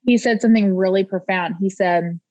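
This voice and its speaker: A woman's voice: mid-range voice, a little fast, a little mellow, no room sound. A woman talking as a podcast guest in an earnest way, repeating phrases for emphasis. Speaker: podcast guest, life coach. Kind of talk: casual conversation. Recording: dull lo-fi sound.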